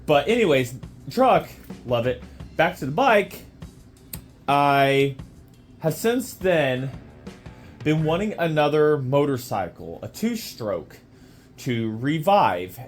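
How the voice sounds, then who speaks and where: A man's voice: on the high side, very animated, slow, long pauses, soft background music. Man with a sore throat, rambling. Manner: rambling, with many fillers and restarts. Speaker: motorcycle restoration vlogger. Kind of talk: casual walkaround vlog. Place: home garage.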